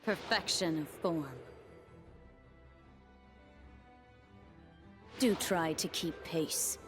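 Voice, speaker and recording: An actress voicing a proud, sharp-tongued young warrior woman in a video game, with cutting, poised delivery. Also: on the low side, measured pace, lightly acted. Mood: smug. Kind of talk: video game character voice lines. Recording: game voice clips with music behind.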